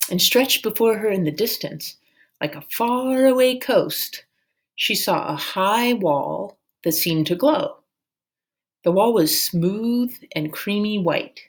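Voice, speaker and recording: A woman reading a children's novel aloud as a storyteller, in a measured, deliberate way. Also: fairly low pitch.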